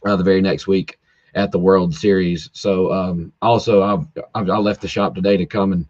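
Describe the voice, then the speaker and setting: A man who races drag cars, hoarse from yelling, talking in a rambling casual way full of fillers and restarts over a call. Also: deep voice, fairly even intonation, normal speed, no room sound, lo-fi sound. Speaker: drag racer guest. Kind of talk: casual podcast chat. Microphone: video call, home internet.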